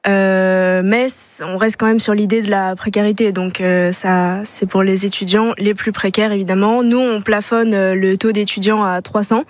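A young woman speaks as a radio guest from the studio. Her answers are hesitant and full of filler sounds, and her voice sits at a middle pitch. The sound is close and dry. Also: steady tone, normal speed, few pauses, darkish tone, no echo. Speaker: student charity vice-president.

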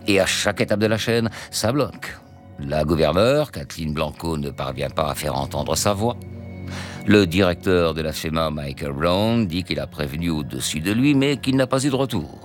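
A man giving a measured, serious scripted documentary narration. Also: deep voice, sing-song. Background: music.

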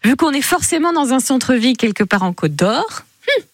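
A woman with a fairly high voice hosts a radio quiz, chatting brightly with callers and tossing in quick interjections. Her voice is close and dry in a studio. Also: sing-song, dry recording. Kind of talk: lively radio phone-in game.

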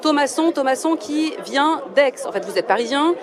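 A woman's voice: high voice, animated, normal speed. A woman reporting live for radio from outside a stadium, interviewing a fan. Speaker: field reporter. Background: crowd.

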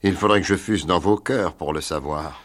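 A man voicing Martin, a gloomy, skeptical older philosopher, in measured, doubtful tones in a storybook reading. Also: low-pitched, fairly bright tone.

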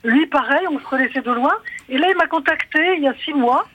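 A woman in her eighties calls in to a radio show, her voice strikingly high and youthful. She sounds cheerful and quick to laugh, with faint noise behind her on the line. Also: dull audio. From France. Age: 80s. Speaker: caller. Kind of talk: phone-in testimony.

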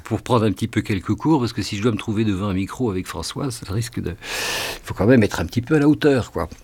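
A middle-aged man with a somewhat low, husky voice answers interview questions in a relaxed radio conversation. He hesitates often, repeating words and trailing off with fillers before finding his phrase. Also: fast talker. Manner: hesitant, many restarts and fillers. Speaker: guest singer.

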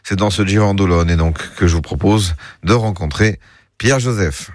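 A man's voice: low voice, normal speed, no echo, studio quality. A man working as a radio reporter, interviewing a guest politely with some hesitation.

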